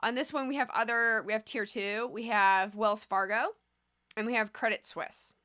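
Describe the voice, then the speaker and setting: A woman's voice: fairly high pitch, steady tone, normal speed, sharp tone, no echo, studio quality. A woman hosting a casual video commentary on stocks, talking conversationally and drifting into asides. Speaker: host of a stock commentary channel.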